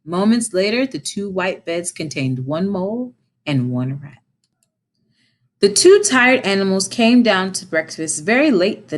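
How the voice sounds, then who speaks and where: A woman reading a story aloud as a teacher, in an expressive narrating style. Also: on the low side.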